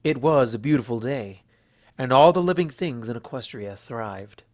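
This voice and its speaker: A man's voice: medium pitch, lively intonation, moderate pace, a little mellow, no echo, studio quality. A man giving a scripted storybook narration as the narrator.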